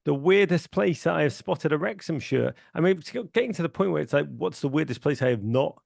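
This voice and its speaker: A man's voice: on the high side, animated, brisk, gravelly, darkish tone, no room sound, studio quality. A middle-aged man from Liverpool with a Liverpool accent, a podcast guest telling stories with enthusiasm, often restarting his sentences. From Liverpool, England. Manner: enthusiastic storyteller, many restarts and fillers. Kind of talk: casual podcast chat.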